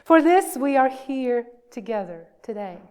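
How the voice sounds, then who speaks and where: A woman leads a church service as its minister. Her voice sits a little high and moves very slowly. She reads with reverence, stressing phrases and leaving deliberate pauses. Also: sing-song, darkish tone, smooth. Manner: measured, emphatic, with deliberate pauses. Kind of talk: worship address and reverent reading.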